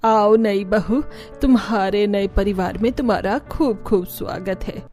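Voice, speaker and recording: A woman's voice: mid-range voice, measured pace, mellow, soft background music, voice pushed down, lightly acted. A woman voicing a bossy, quarrelsome mother-in-law in a cartoon story. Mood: welcoming.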